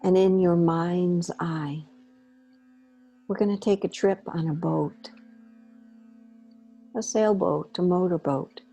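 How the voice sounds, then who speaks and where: Slow, low-voiced woman guiding a meditation. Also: fairly even intonation, soft background music. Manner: unhurried, gentle, pausing between short phrases. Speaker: guided meditation leader. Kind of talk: guided meditation, slow soothing instruction.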